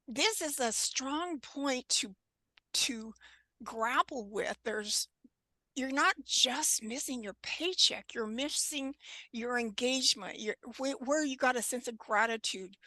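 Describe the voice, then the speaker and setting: Slow, bright-voiced woman in her 70s. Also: fairly high pitch, dry recording. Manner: unhurried, halting, frequent throat clearing. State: scratchy voice. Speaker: guest presenter on wellness. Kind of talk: informal presentation with discussion.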